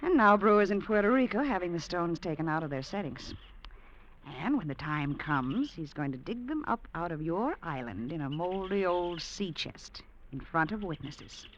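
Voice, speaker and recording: A woman's voice: lowish voice, expressive, normal speed, close to an everyday voice. An actress playing a greedy, scheming gangster's girlfriend in an old radio drama, taunting and insistent. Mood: knowing, sly. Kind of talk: 1940s-50s radio drama acting. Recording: muffled vintage radio recording, background hiss.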